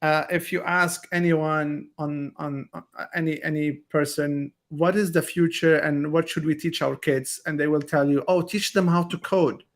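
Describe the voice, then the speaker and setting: A male podcast host with a slightly high voice asks his questions earnestly. He often restarts his sentences partway through and then presses on with his point. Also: steady tone, normal speed, few pauses. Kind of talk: interview questions and commentary.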